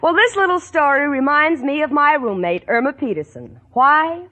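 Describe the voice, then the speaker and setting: Wry, high, lively young woman. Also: normal speed. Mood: wry, amused. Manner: sardonic, punchy wisecracking. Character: wry, sensible young woman roommate narrating. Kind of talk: 1940s radio sitcom acting.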